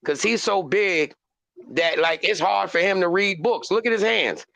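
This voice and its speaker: Hombre burlón de voz aguda y rasposa. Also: male voice, sits high, normal speed, sharp tone, no room sound. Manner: teasing, joking banter. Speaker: podcast host. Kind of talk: casual chat.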